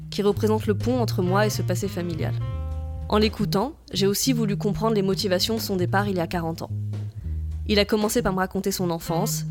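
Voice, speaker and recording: A young woman from France narrating her podcast in a calm, measured, reflective voice. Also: medium pitch. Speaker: podcast host. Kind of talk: scripted narration. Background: guitar music.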